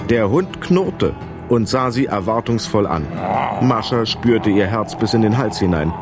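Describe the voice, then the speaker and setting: Animated male storyteller with wide intonation. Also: lowish voice, unhurried, over music. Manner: expressive, vivid storytelling. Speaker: narrator. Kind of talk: scripted children's story narration.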